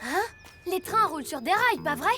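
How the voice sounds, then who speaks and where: A woman's voice: very high voice, lively intonation, moderate pace, pushed and bright, soft background music, exaggerated voice. A woman voicing a bold six-year-old boy superhero in a cartoon dub, confident and reassuring. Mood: thoughtful. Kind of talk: animated cartoon dubbing.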